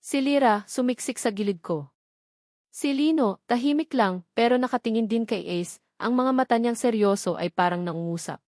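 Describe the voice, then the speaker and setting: A woman's voice: fairly high pitch, moderate pace, a little mellow. A woman narrates a scripted love story in the first person. Her delivery is that of a dramatic storyteller. The sound is very dry, with little room echo.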